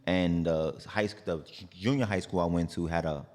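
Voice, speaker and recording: A man's voice: sits low, normal speed, long reverb tail. A man from New York with a New York accent, a singer guest in a casual interview, talking loosely with many fillers like "like" and "you know". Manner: relaxed, rambling, lots of "like" and "you know".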